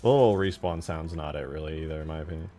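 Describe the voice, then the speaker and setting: A man's voice: very deep voice, fast talker, mellow. A man talking casually on a livestream as he works on a game mod, informal and half muttering to himself. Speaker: game modder streaming his work. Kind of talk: casual livestream chat while working. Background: background music.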